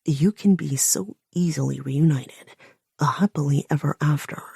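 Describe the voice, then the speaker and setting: A woman's voice: low voice, normal speed, vocal fry, dark tone, slightly rough, dry recording, clean studio sound, voice pushed down, heavily acted. A woman voicing a slick, menacing male blackmailer who purrs his threats in a half-whisper.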